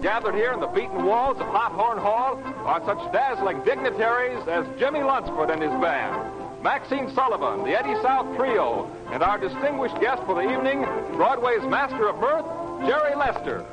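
An old radio recording of a man with a high voice over loud music. He announces in 1940s jive style, rolling out theatrical, alliterative patter. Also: very animated, moderate pace, rough recording.

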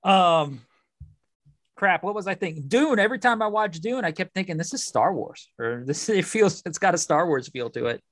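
A man's voice: high voice, expressive, normal speed, a bit bright, no room sound, studio quality. A man co-hosting a podcast, talking casually and a little tentatively about films. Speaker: podcast co-host.

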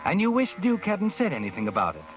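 An actor playing a knowing, taunting narrator of a 1940s radio mystery, telling the story insinuatingly in the second person. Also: male voice, high voice, wildly swooping pitch, fast talker, poor audio. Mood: ominous, insinuating. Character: ominous, knowing male narrator taunting the guilty heroine. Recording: vintage radio recording. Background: music.

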